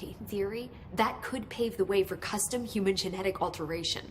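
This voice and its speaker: A woman's voice: medium pitch, a little hiss or hum, natural voice. A middle-aged woman voicing an enthusiastic research scientist in a game. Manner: brisk, gets carried away with enthusiasm. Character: excitable female research scientist.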